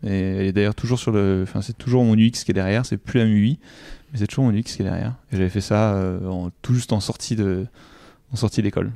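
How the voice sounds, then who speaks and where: Low gravelly French-born man, hesitant. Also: fairly even intonation, fast, warm tone, no room sound, studio quality. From Normandy, France. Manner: hesitant, many fillers and restarts. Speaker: UX designer guest. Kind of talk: casual interview answers.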